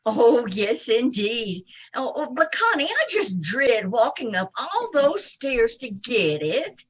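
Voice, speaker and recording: Woman playing a high, fluttery old landlady. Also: big pitch swings, slow, husky, full character voice. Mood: gushing innocence. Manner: fluttery, hesitant, gushing. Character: dithering, excitable, man-crazy older landlady. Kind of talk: old-time radio comedy acting.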